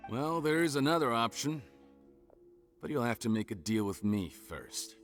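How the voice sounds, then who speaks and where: An actor playing a cynical, self-serving criminal schemer in a video game, cool, dismissive and calculating. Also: male voice, mid-range voice, big pitch swings, moderate pace, faint music behind, close to an everyday voice. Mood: scheming. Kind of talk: scripted video game voice acting.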